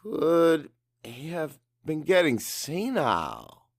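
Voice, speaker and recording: A man narrating a dramatic first-person audiobook in an emotive, deliberate way with pauses for effect. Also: a little high, huge pitch swings, dry recording, studio quality.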